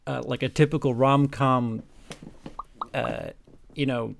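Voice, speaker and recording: A man co-hosting a comedy podcast, chatting casually in a halting, wry way as he riffs on jokes. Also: mid-range voice, fairly even intonation, creaky, dry recording. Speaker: podcast co-host.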